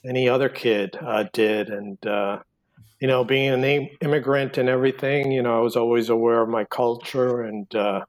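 A man's voice: medium pitch, little pitch movement, moderate pace. A man in his sixties, born in Cuba, talks through a podcast interview as the guest author. He hesitates constantly, filling gaps with small fillers and backing up to restart his sentences.

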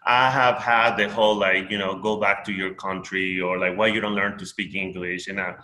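A man's voice: low voice, little pitch movement, normal speed, bright, forceful tone, roomy sound, low-quality recording. A man from Peru with a Peruvian Spanish accent, Spanish his first language, talking in a rambling casual conversation full of fillers and restarts.

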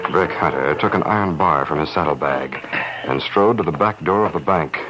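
An American man narrating an old-time radio western as a measured storyteller. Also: extremely low pitch, background noise. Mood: measured, dramatic. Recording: muffled old radio recording, music behind.

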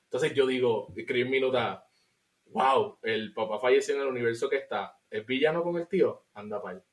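A man's voice: medium pitch, fairly quick. Puerto rican guy cohosting a movie podcast, laughs a lot, mixes in english. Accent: Puerto Rican Spanish. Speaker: podcast co-host. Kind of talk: casual chat, film review.

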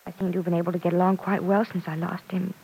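A woman playing an earnest, lovesick young daughter in an old-time radio soap opera, hesitant and husky, repeating her words. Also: on the low side, flat delivery, rapid-fire, warm tone, low-quality recording. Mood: reflective. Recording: vintage radio recording.

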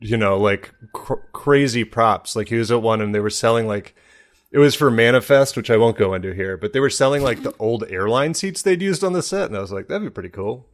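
A man's voice: mid-range voice, fairly quick. A man hosting a podcast in casual comedic chat, with a rambling, joking way of talking. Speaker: podcast host.

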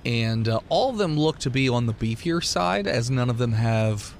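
A man's voice: medium pitch, expressive, moderate pace. A man hosting as game master, describing scenes in a casual, conversational way as he improvises the narration. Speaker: game master and podcast host. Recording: clear background noise.

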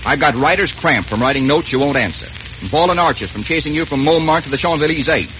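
An actor playing a brash, lovesick young bandleader, impetuous and wisecracking, in a 1940s radio romance. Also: male voice, fairly high pitch, expressive, fast, a bit bright, a little hiss or hum. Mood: insistent. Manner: impetuous, wisecracking, stammering repeats. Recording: muffled old radio recording, music behind.

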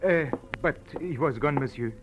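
A man's voice: fairly high pitch, moderate pace, very noisy, lightly acted. An actor playing a fussy, officious Frenchman with a French accent, theatrical and flustered, in 1940s radio style. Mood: dramatic, excited. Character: fussy, excitable Frenchman, officious and eager to help. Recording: old radio drama with music behind.

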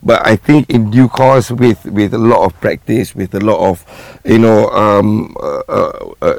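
A man's voice: lowish voice, unhurried, gravelly, a little mellow, no room sound. A man with a Malaysian accent, a traffic department head, chatting casually in an interview.

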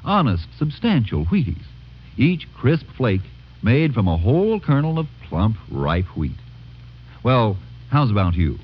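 A man's voice: medium pitch, sing-song, unhurried, husky. An American man reading a classic radio advertisement in a folksy, chatty sales manner. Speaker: announcer and pitchman. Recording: dull vintage radio recording.

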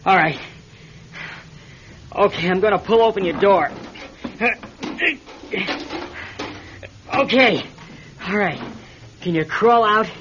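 An actor playing a blunt, protective small-plane pilot in trouble, in an old-time radio drama. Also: male voice, sits high, measured pace, fairly bright tone. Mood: strained, dazed. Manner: terse, commanding, urgent. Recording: muffled, noisy old radio recording, engine drone.

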